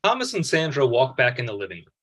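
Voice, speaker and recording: A man's voice: mid-range voice, huge pitch swings, quick pace, a bit bright. A man reading stage directions as scripted narration at a script table read.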